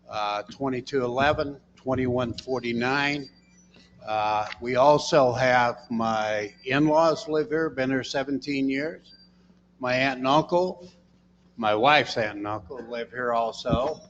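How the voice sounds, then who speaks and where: A man's voice: mid-range voice, big-room sound. A man from Missouri introduces himself to a community meeting as a board candidate. He talks in a folksy way, dropping in "uh" between phrases. Faint room noise sits behind a dull recording. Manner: folksy, with "uh" fillers. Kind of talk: self-introduction speech.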